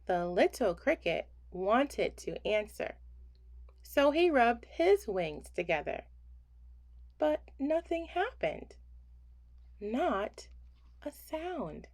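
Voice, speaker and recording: Woman, children's story reader, very unhurried, expressive swooping intonation, deliberate pauses, bedtime read-aloud. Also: a little high. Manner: slow deliberate phrasing with pauses, hushing on refrains.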